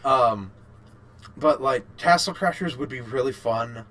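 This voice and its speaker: A man's voice: medium pitch, measured pace, sharp tone. A man co-hosting a casual podcast chat about games and films, informal and a little sweary. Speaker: podcast co-host.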